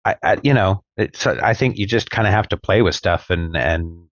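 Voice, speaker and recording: Low-pitched man, thoughtful hesitant talk, slightly dull lo-fi sound, podcast co-host. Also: normal speed, no echo. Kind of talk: casual podcast chat.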